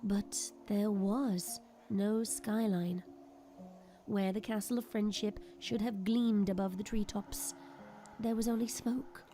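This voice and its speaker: A woman giving dramatic scripted narration for an audio drama, expressive and suspenseful. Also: mid-range voice, slow, slight background noise. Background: prominent background music.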